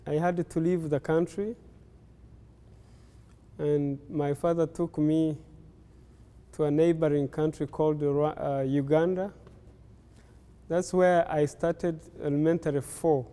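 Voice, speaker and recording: An older man from Rwanda, a native Kinyarwanda speaker, recalling his life story slowly, pausing often with fillers. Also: on the high side, dark tone, big-room sound. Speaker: interviewee. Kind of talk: slow life-story recollection.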